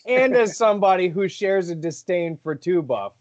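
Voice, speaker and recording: A friendly man from Rhode Island, a professional wrestler, answers an interviewer politely and laughs easily. His voice sits a bit high for a man. The sound is muffled and lo-fi. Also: expressive, normal speed, darkish tone. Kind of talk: casual interview.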